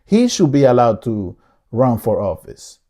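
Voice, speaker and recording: Earnest middle-aged man with mellow voice. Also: mid-range voice, big pitch swings, measured pace. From Dominican Republic. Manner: earnest, repetitive, frequent restarts. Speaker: vlogger. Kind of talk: casual opinion monologue.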